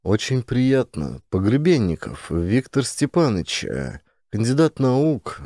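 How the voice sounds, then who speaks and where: A man's voice: medium pitch, big pitch swings, a little slow, mellow, no echo, studio quality, voice pushed up. A man voicing a timid, awkward scientist who speaks hesitantly and with stiff politeness in an audio play. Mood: awkward, polite.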